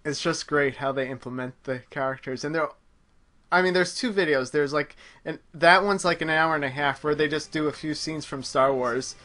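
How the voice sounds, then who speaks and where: A man's voice: fairly high pitch, moderate pace, pushed and bright. A man hosting a gaming show, chatting casually and commenting live as he plays. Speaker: gaming show host playing a video game. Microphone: microphone on a group internet voice call.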